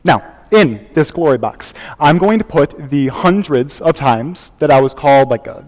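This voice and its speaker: A man's voice: fairly high pitch, darkish tone. Young man acting earnest performance artist, echoey hall. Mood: defiant, hurt. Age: young adult. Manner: theatrical, conversational storytelling with dramatic pauses. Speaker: high school competitive speech performer. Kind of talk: dramatic interpretation monologue on stage. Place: large hall before an audience. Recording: echoey hall, live contest recording.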